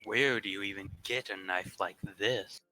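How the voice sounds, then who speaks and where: A man reading the story narration in a plain, steady way. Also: fairly low pitch, moderate pace, no echo.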